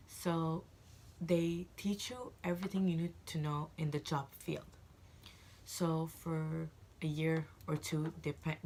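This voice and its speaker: A woman's voice: low-pitched, vocal fry, a little hiss or hum. A woman in her twenties from Egypt, chatting casually to camera as a vlogger, rambling with frequent fillers and restarts.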